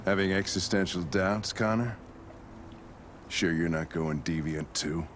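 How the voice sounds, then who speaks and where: An actor playing a gruff, weary, hard-drinking male police lieutenant who speaks bluntly and sardonically, in a video game cutscene. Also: low-pitched, measured pace, a little hiss or hum. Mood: sardonic. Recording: game score music behind. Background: music.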